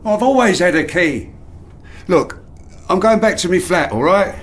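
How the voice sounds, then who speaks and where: An actor playing a blunt, defensive man in an audio drama, gruff and matey. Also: high voice, animated, measured pace. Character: blunt, defensive man, unwelcome visitor with a key.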